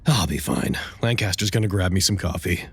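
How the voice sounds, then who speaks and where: A man's voice: sits low, expressive, brisk, gravelly, breathy edge, full character voice. A man playing an exhausted, stubborn radio operator in an audio drama, hesitant, evasive and jumpy. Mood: weary. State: tired. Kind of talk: naturalistic audio drama dialogue.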